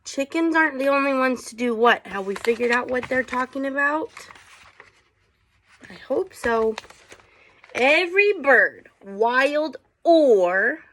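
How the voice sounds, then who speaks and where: Slow-paced woman reading aloud to children. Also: a little high, fairly bright tone. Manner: unhurried, inquisitive, prompting listeners with questions. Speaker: teacher reading aloud to students. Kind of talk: picture-book read-aloud with casual questions to children.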